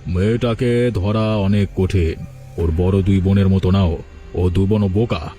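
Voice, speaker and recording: Man acting a low-voiced scheming cartoon villain. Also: fairly even intonation, a little slow, mellow. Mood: commanding, secretive. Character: scheming male village trafficker and his masked boss. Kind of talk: cartoon story dubbing. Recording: music behind the voice. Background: background music.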